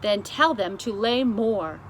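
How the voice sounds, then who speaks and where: A woman voicing a brisk, commanding, unflappable queen in a story, speaking in an emphatic, deliberate, imperious way. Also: medium pitch, lively intonation, slight background noise, rough recording.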